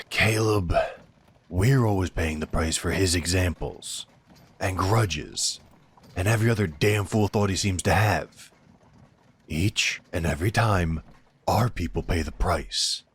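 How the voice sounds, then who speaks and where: Deep, husky werewolf right-hand man, acted by a man. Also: takes it slowly, exaggerated voice. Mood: indignant. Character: loyal, blunt, defiant werewolf right-hand man to a duke. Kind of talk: fantasy audio drama acting.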